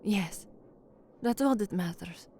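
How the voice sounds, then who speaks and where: A woman's voice: mid-range voice, expressive, slow pace, warm tone, slight background noise. An actress playing an anxious young working-class woman and trainee newsreader in an audio drama. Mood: subdued. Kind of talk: audio drama acting with first-person narration.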